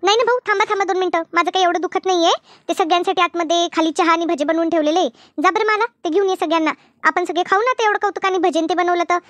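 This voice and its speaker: Woman acting very high-pitched, chatty village housewife. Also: racing, fairly bright tone, no room sound. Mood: bustling, insistent. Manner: gossipy, emphatic, colloquial. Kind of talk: animated comedy cartoon dialogue.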